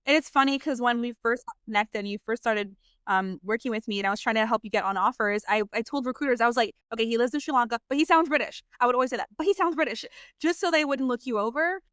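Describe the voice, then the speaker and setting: A bubbly woman host chatting over a video call, her voice sitting a touch high. She gushes, laughs easily and keeps the talk upbeat. The sound is dry and close. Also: fast talker, a bit bright, clear, resonant tone, dry recording. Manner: bubbly, effusive, laughs often. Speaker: podcast host and sales coach. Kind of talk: casual interview chat.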